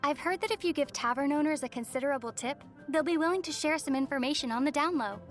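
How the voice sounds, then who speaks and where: Young female otherworldly traveler for an anime game, acted by a woman: high, gentle, musing, faint music behind. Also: quick pace, close to an everyday voice. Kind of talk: scripted anime game dialogue.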